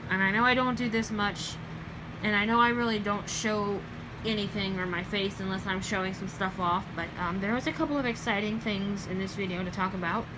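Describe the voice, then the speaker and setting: A young woman with a Southern US accent chats through a late-night vlog at a middling pitch. She rambles, corrects herself and lets sentences fade quietly. Steady background noise sits under her voice on the recording. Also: fairly quick. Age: young adult. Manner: rambling, with many ums and self-corrections. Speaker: vlogger.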